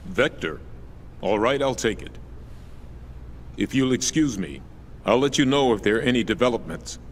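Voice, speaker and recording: An actor playing a composed older government statesman in a video game, with calm, courteous and formal speech. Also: medium pitch, normal speed, slight background noise, lightly acted.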